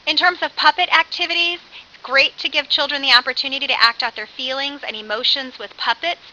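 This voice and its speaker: A woman teaching an early childhood course in a conversational, animated way, as an instructor explaining with examples. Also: high voice, fairly quick. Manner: animated, with acted-out example phrases. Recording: dull lo-fi audio with faint hiss.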